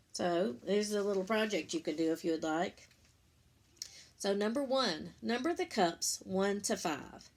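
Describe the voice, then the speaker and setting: A woman reads a children's book aloud at a slow, measured pace. Her mid-pitched voice has a noticeable creak. She phrases things simply and deliberately, like a teacher explaining to young listeners. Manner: simple, deliberate reading, pausing between phrases, asking listeners questions. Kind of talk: read-aloud storytime with explanatory asides.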